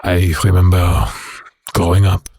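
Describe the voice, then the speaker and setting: An actor playing a bitter, haunted werewolf prisoner in an audio drama, speaking in a slow, brooding, halting way with a rough voice. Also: male voice, sits low, level tone, darkish tone, dry recording, studio quality, heavily acted. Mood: haunted sorrow. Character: bitter haunted male werewolf prisoner recounting his past.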